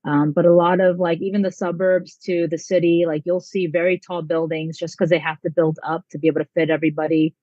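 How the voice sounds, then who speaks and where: A young woman from the States answers interview questions in an easygoing, rambling way, filling pauses with "um" and "like". Her voice comes through a dull, lo-fi channel. The sound is dry, with no room around it. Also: lowish voice, flat delivery, warm tone, no room sound. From United States. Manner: chatty, lots of um and like. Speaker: volleyball head coach, guest.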